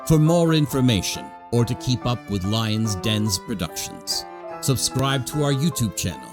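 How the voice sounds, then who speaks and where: A man's voice: mid-range voice, animated, measured pace. A man announcing scripted closing credits for an audio play. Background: music.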